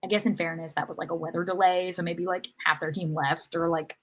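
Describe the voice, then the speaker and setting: A woman's voice: on the low side, little pitch movement, fairly quick. A woman co-hosting a sports podcast, recapping matches in an informal, lightly joking conversational way. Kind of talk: casual match recap chat.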